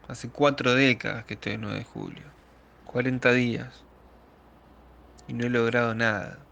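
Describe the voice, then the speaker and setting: A man's voice: mid-range voice, creaky, a bit bright. A man with an Argentine accent playing a trapped, despairing man who speaks straight to the listener in a brooding radio theatre monologue. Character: trapped, despairing man warning over a communicator, resentful of power.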